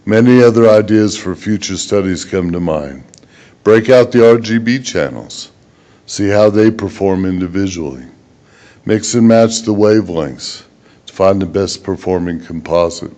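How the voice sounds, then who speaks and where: A man presenting research at a conference in a halting, plain-spoken way. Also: sits low, warm tone. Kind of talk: conference presentation, then answering questions.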